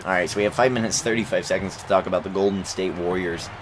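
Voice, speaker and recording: A man giving relaxed, rambling commentary over gameplay, with a plain voice and lots of fillers and restarts. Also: sits low, fast talker. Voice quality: plain, unremarkable voice. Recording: game crowd noise underneath. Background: video game crowd and court sounds.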